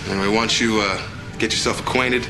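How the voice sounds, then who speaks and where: A man's voice: fairly low pitch, fairly even intonation, fairly quick, lightly acted. A man playing an easygoing, wry fighter pilot in a video game, talking like a playful tour guide. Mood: breezy, joking. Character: easygoing, wry young fighter pilot showing a newcomer around. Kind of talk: video game cutscene acting. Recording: clear music behind the voice.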